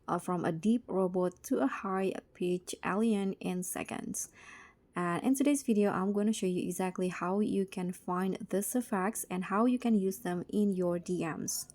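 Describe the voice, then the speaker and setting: A woman's voice: medium pitch, dark tone. A woman hosting a tutorial, explaining things step by step. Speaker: tutorial host. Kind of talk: step-by-step screen tutorial narration.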